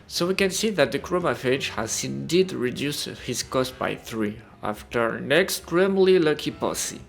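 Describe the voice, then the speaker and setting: A man's voice: medium pitch, animated, measured pace, vocal fry. A man reading a scripted video game review as a voice-over. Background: music.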